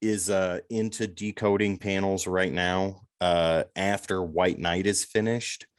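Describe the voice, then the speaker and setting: A man's voice: low voice, fairly even intonation, slow, clean tone, dry recording, studio quality. A man co-hosting a podcast, chatting casually with many fillers and laughing often. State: recovering from losing his voice. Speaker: podcast co-host.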